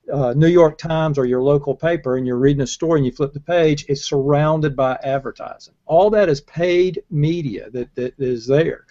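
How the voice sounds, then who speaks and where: An older man with a fairly high voice debates in a casual panel. He speaks emphatically, with halting restarts, over a dull video call connection. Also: a little mellow. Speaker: panelist in a marketing discussion. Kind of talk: casual argumentative panel chat. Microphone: earbud headset.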